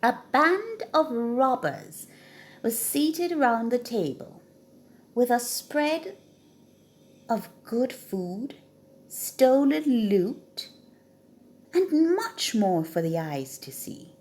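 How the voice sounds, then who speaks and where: A woman reading a children's story aloud with expressive, dramatic emphasis. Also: a little high, extremely slow, pauses often.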